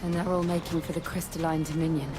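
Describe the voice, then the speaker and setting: A woman acts a serious travelling companion in a fantasy game. Her voice is slightly low and brisk, matter-of-fact, with music behind it. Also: level tone, close to an everyday voice. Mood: concerned.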